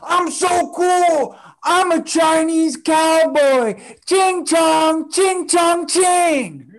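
A man's voice: very high voice, very slow, a little hiss or hum, exaggerated voice. A man playing a rowdy, drunk young college guy who mocks and jeers, in a table read over a video call. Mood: loud jeering. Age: young adult. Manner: loud, mocking, jeering. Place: indoor room, video call. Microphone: video call, computer mic.

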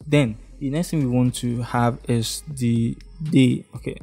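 A man's voice: mid-range voice, a little slow, creaky, breathy edge, soft background music. A young man from Ghana with a Ghanaian English accent, talking step by step through a screen-recorded design tutorial and often checking in with "okay?". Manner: relaxed, step-by-step, frequent "okay?" check-ins. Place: indoor room, face in webcam corner.

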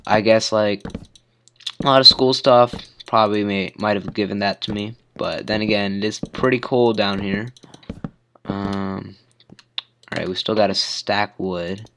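A teenage boy with a hoarse voice from a cold, rambling casually with plenty of fillers while commenting on his building game. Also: lowish voice, steady tone, a little slow, a bit bright, slight background noise. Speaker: gamer.

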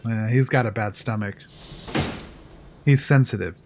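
A man playing a low-key, mildly reproving control room technician in an audio drama. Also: unhurried, darkish tone, a little hiss or hum. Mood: calm, reproving.

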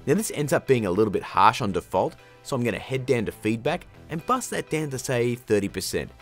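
A man hosting a casual, scripted software tutorial in a jokey, slangy, informal way. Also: mid-range voice, lively intonation, brisk, faint music behind. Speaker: tutorial host.

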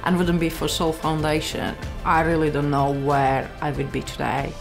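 Latvian woman, lowish croaky voice, halting personal testimony, bit of music behind. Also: monotone. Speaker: church member giving testimony. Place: small living room. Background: background music.

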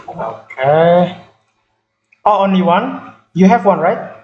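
A man chats loosely while he plays, restarting phrases and repeating himself as he thinks aloud. His voice sits a bit high, and the room around him gives it a noticeable echo. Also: expressive, slow pace, dull audio, slight background noise. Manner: rambling, filler-heavy, repeats words. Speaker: gaming channel host. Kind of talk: casual gameplay chat.

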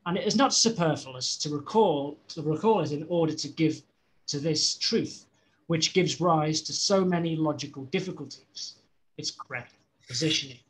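A man with a Scouse accent reads a philosophy text aloud on a video call, stumbling and restarting phrases as he goes. His voice sits a little high for a man. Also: low-quality recording. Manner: halting reading with restarts and self-corrections. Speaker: study group member reading aloud. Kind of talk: reading a seminar text aloud.

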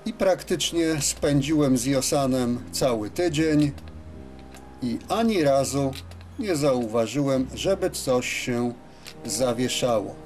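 Guy doing a workshop demo, really slow talker, hesitant, bit of music behind him. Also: mid-range voice, expressive, a little mellow. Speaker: radio repair technician presenting his work. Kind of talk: unscripted workshop demonstration. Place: radio service workshop, indoors at a bench.

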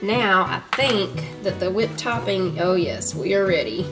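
A woman's voice: medium pitch, lively intonation, measured pace, music in the background. A woman in her thirties with a Southern US accent, chatting homily to viewers while cooking in her home kitchen. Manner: chatty, homey, talks to viewers as y'all. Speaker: home cooking vlogger, mother. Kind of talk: casual cooking vlog narration.

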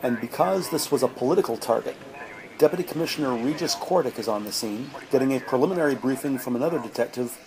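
A man narrating a detective audio drama in measured, descriptive present-tense storytelling. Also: mid-range voice, a crowd in the background, rough recording. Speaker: podcast narrator. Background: music.

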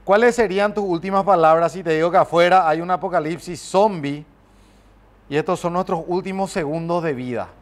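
A man, hosting a quick-fire studio interview with teasing banter, on a lapel mic in a dark studio. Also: high-pitched. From Paraguay. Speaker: interview host. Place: dark studio set, seated.

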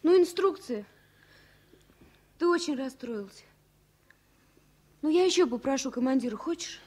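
An actress playing a young, loving and resolute flight attendant in a 1970s Soviet radio play, speaking in a soft, coaxing way. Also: female voice, high voice, animated, unhurried. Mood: affectionate, reassuring.

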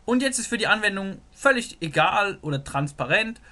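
A man walks through a software diagram as he draws it, thinking aloud with frequent filler words. His voice sits a little high and sounds bright and forward. He is recorded close on a desktop microphone. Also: animated, moderate pace. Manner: thinks aloud while sketching, many "so" fillers. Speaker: programming tutor. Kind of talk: screen-recorded whiteboard lesson.

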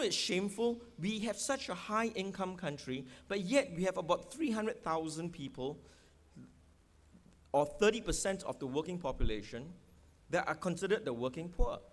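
A middle-aged man with a Singaporean accent, his voice pitched high for a man, speaks forcefully into a podium microphone on an outdoor stadium stage. He throws rhetorical questions at the crowd and hammers his points home as a political candidate. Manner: rhetorical questions, emphatic, gesturing. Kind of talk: election rally speech.

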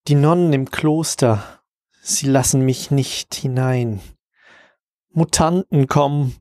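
Guy reading little poems, super slow, really dry close sound. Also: medium pitch, a little mellow, no room sound. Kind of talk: scripted poetry reading.

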